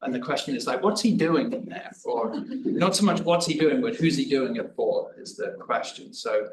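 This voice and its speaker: A man's voice: medium pitch, expressive, moderate pace. Man discussing a paper, far from mic. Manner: chatty, self-deprecating, restarts words. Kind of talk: conference discussion. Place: conference room. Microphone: away from the room microphone. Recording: roomy, distant mic, faint noise.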